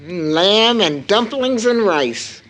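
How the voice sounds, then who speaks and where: A woman's voice: medium pitch, lively intonation, drawn-out words, a bit bright, low-quality recording. A woman acting a tired, loving, anxious mother of two in an audio drama, with tender motherly endearments. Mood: warm, proud.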